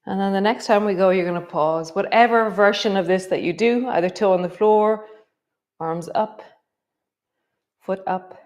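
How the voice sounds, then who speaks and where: A middle-aged woman, gently guiding a yoga class with a slightly stuffy nose. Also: mid-range voice. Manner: gentle, encouraging, drawn-out cues. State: stuffy nose from a coming cold. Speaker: yoga teacher. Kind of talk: guided yoga class instruction.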